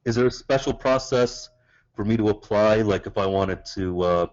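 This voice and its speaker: Caller from Canada, male, lowish voice, polite and hesitant, lo-fi webinar audio. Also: darkish tone. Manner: polite, halting, many fillers. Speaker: webinar caller, acting student. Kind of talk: asking questions on a webinar.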